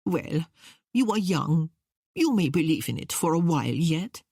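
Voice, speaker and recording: Woman acting an imperious, mocking queen, husky voice. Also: sits low, big pitch swings, unhurried, mellow, dry recording, clean studio sound, exaggerated voice. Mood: jeering. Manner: haughty, scornful, declarative. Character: imperious, mocking middle-aged exiled British queen.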